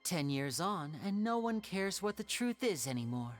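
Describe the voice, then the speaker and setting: A composed, cunning young stage magician, played by a woman in a video game. The voice is lowish for a female one, with polished, theatrical phrasing and quiet vengeful resolve. Faint music sits behind it. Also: sing-song, normal speed. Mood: solemn, resolute. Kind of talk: dramatic video game voice acting. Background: background game music.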